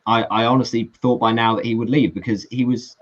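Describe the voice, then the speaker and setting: A man's voice: medium pitch, steady tone, a little fast, lo-fi sound. A man from England talking as a guest fan, discussing football in an earnest, analytical, casual way over an online call.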